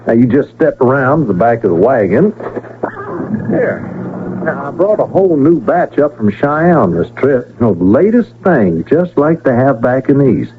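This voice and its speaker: Man acting animated folksy frontier peddler. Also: mid-range voice, normal speed, lightly acted. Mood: salesmanlike. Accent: American. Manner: folksy drawl, teasing, ingratiating. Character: folksy, cheeky, fast-bargaining traveling frontier peddler. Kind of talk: 1970s radio western acting. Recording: noisy, tinny old radio broadcast.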